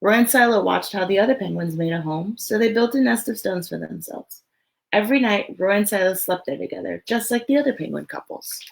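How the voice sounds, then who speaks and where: A woman reading a picture book aloud to children in an expressive storytelling way, with casual, chatty asides. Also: mid-range voice, brisk, creaky, rough recording.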